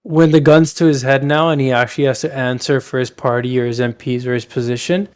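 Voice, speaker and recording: A man's voice: medium pitch, fairly even intonation, moderate pace, darkish tone, clear, resonant tone. A man co-hosting a podcast, talking politics casually and emphatically with plenty of slang. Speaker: podcast co-host.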